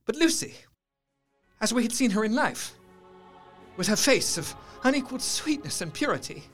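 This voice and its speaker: A man playing an earnest gentleman doctor, narrating a gothic horror radio play in a dramatic, literary manner. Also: extremely high pitch, very animated, moderate pace, soft background music, exaggerated voice. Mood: awed, moved.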